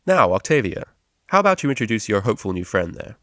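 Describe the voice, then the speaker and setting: A man's voice: lowish voice, sing-song, fast, a bit bright, dry recording, studio quality. A man voicing a cheery, boisterous male pony who teaches psychology, in a storybook reading. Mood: encouraging. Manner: boisterous, jovial, encouraging.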